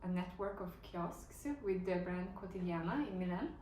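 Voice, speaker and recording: A woman's voice: lowish voice, steady tone, normal speed, a little mellow, slight background noise. A young woman from Norway, a design student, talking casually and reflectively in a group interview, leaning on filler words. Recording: echoey room, distant mic.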